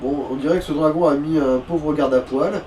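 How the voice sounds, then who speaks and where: A man's voice: a little high, fairly even intonation, fairly quick, warm tone. A man giving casual, slangy commentary on a video game, explaining things as he goes. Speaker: co-commentator of a let's play. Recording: noisy room, distant microphone.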